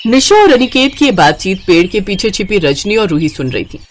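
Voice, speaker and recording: A woman narrates a scripted bedtime-style moral story. Her pitch is average for a woman, and she tells it as a storyteller. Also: sing-song, a little fast, a little hiss or hum.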